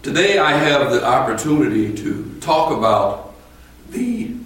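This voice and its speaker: A man preaching a sermon in a temple, his voice a little strained, speaking slowly and deliberately and rising to emphatic points. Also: medium pitch, sing-song, fairly bright tone. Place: temple sanctuary. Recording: echoey hall from far off.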